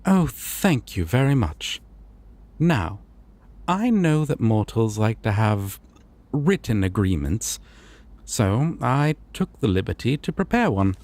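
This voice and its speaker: A man playing a courteous, solemn god of dreams in a fantasy audio roleplay, with formal, deliberate phrasing. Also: medium pitch, darkish tone. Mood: gracious.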